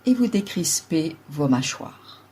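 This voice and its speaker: Very slow, low-voiced woman guiding relaxation. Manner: calm, measured instructions in short phrases. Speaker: yoga nidra guide. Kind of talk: slow guided relaxation meditation.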